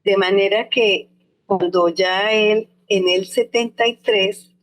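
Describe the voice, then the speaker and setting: A woman's voice: medium pitch, fairly even intonation, takes it slowly. An older woman, an anthropologist answering interview questions in a thoughtful, reflective way, often restarting and hedging as she remembers. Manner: thoughtful, with frequent restarts and hedges.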